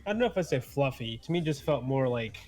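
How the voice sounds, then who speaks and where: A man's voice: mid-range voice, lively intonation, fast talker, a little mellow, faint music behind. A man in casual podcast conversation, with a wry, joking way of talking. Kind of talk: casual chat.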